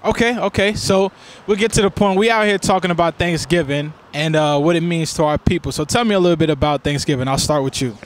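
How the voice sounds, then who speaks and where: A man's voice: a little high, quick pace, few pauses. A man hosting a casual two-guest interview, teasing and prodding his guests playfully. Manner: playful, laughs and teases guests. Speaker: host.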